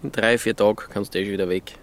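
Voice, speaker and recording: A man's voice: on the low side, steady tone, quick pace, close to an everyday voice. A man playing a blunt, hearty mountain countryman with an Austrian accent, talking in a folksy, plain-spoken way. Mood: matter-of-fact. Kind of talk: audio drama dialogue. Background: music behind the voice.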